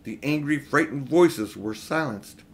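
A middle-aged man reading a children's storybook aloud in expressive narration. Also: mid-range voice, measured pace, a little hiss or hum. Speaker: audiobook reader. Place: small room at home, indoors.

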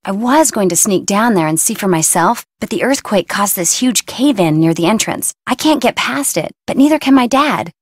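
A woman's voice: medium pitch, lively intonation, moderate pace, few pauses, no echo, clean studio sound, close to an everyday voice. A woman playing a young, blunt, stubborn surfer girl in a video game, talking in casual, flippant slang. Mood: confiding, matter-of-fact.

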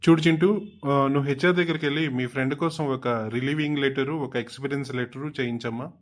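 A man voicing a composed, paternal company boss in a comedy cartoon, speaking in a measured and firm way. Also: medium pitch, fairly even intonation, close to an everyday voice. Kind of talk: Telugu comedy cartoon dialogue.

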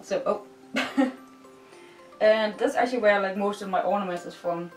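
A woman's voice: medium pitch, normal speed. Giggly young Danish-accented woman, roomy bedroom. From Denmark. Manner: bubbly, giggly, rambling with many "like"s. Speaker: vlogger. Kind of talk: casual chat while decorating. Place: bedroom, indoors. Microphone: far camera. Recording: roomy, distant, with music faint behind.

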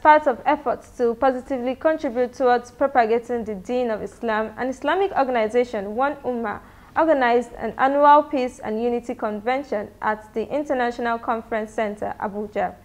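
A woman's voice: fairly high pitch, brisk. A woman reporter reading scripted news narration.

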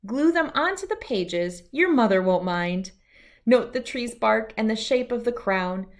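A middle-aged woman reads a rhyming picture book for children. Her voice sits at an average pitch and she takes the lines unhurriedly. The delivery has a storybook sing-song that follows each rhyme.

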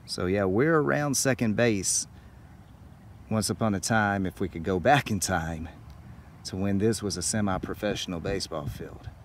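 A man's voice: deep voice, normal speed. A middle-aged man hosting a travel vlog on location, speaking enthusiastically and directly to his viewers. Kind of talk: on-location narration to camera about music history. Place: outdoors at a small-town baseball field. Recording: outdoor ambient noise, passing vehicles.

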